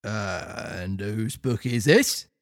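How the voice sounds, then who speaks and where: A man voicing gruff, stern male police officers in a storybook reading, slow, clipped and officious. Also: on the low side, dry recording, studio quality, voice pushed down.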